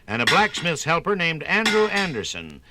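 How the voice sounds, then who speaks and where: A man's voice: on the high side, wildly swooping pitch, normal speed, noisy background. A man narrating a scripted 1950s radio documentary in an emphatic, polished announcer's delivery. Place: radio studio.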